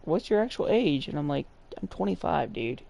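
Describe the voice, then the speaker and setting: A man's voice: a little high, big pitch swings, moderate pace, vocal fry, warm tone, slight background noise. A man in his twenties with a young-sounding voice, chatting in a laid-back way while gaming. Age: 20s. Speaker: co-player. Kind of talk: casual chat while gaming.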